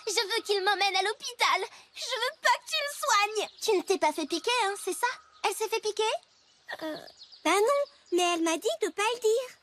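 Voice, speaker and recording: A dramatic, bickering cartoon kid with an extremely high voice. The child is excitable and quick to accuse, over clearly noisy sound. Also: female voice. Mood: indignant. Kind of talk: animated series dubbing.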